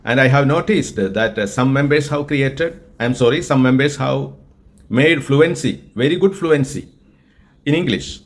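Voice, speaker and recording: A man from Kerala, India, with a Malayalam-influenced Indian accent, teaching English in an earnest, deliberate way and often repeating himself. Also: mid-range voice. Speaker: English tutor and group organizer. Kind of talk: spoken English lesson with an AI chatbot.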